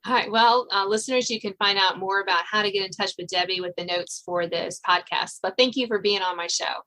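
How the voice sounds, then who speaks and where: A woman's voice: mid-range voice, steady tone, brisk, sharp tone, low-quality recording. A woman interviewing a guest conversationally as the host of a podcast.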